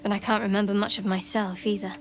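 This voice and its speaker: A woman playing a guarded, secretive young cleric in a video game, speaking in a reserved, measured, dryly wry way. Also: mid-range voice, flat delivery, soft background music, natural voice. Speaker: video game companion character. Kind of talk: dramatic game dialogue.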